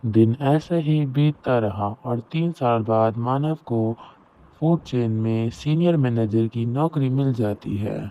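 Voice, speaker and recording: A man's voice: medium pitch, slow pace. A man narrating a scripted moral story. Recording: roomy echo, very thin phone-like audio.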